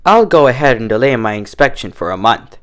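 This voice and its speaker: A man's voice: medium pitch, very animated, fairly quick, fairly bright tone. A man voicing a polite, businesslike male pony inspector in a cartoon story, courteous and formal. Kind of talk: cartoon fan fiction character reading.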